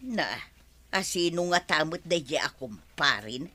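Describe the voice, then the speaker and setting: A woman with an Ilocano accent playing an inquisitive, animated village woman in a Filipino radio comedy drama. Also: deep voice, unhurried, no room sound, heavily acted. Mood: curious.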